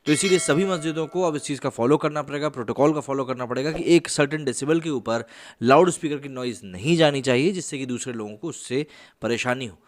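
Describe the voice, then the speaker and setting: A man's voice: mid-range voice, quick pace. A young man reading news reports for his online channel, mostly in formal phrasing with casual asides to the viewers. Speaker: news commentator.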